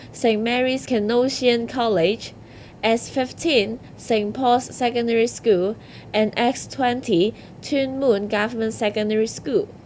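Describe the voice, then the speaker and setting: A female co-host reads out a prepared results announcement. Her pitch is somewhat high, and a light noise runs behind the voice. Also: a little mellow. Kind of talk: scripted announcement.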